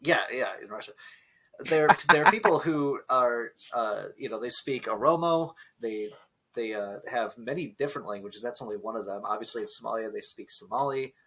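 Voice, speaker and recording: A man's voice: mid-range voice, moderate pace, fairly bright tone, husky, rough recording. A young man from Minnesota, co-hosting a casual podcast and talking in a blunt, profane, lecturing way. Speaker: podcast co-host. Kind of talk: casual opinionated chat.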